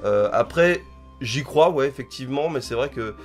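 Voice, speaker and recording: A man's voice: medium pitch, animated, moderate pace, soft background music. A man with a stuffy head cold giving a casual spoken manga chapter review, rambling and thinking aloud as he hedges.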